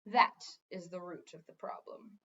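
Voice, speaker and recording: A woman voicing a smooth, pushy, lecturing male head wizard in a children's fantasy story. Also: fairly low pitch, fairly even intonation, a little slow, a bit bright, highs cut off, pitched below the performer's own voice. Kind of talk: children's fantasy audiobook reading.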